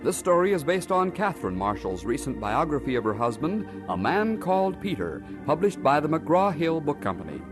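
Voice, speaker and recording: A man with an American accent making formal, measured old-time radio announcements. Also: fairly high pitch, big pitch swings, music in the background.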